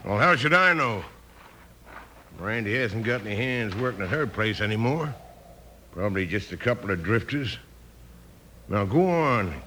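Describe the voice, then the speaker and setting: Sharp, bossy western villain. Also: male voice, lowish voice, expressive, moderate pace, pauses often. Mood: impatient, bossy. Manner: gruff, rough-spoken. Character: bossy, impatient, gruff western villain rancher. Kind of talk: 1950s radio western acting. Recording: noisy old-time radio recording.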